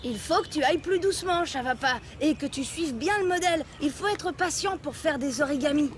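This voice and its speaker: A woman voicing a patient, precise child who instructs friends calmly and earnestly in a French children's cartoon. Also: sits high, a little fast, noisy background, lightly acted. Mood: patient, instructive.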